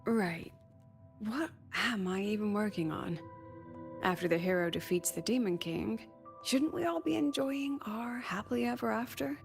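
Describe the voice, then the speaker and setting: An actress playing a weary, wry academy president in a video game, sly and chatty, talking conversationally with sighs and coy pauses. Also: female voice, mid-range voice, creaky, close to an everyday voice. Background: background music.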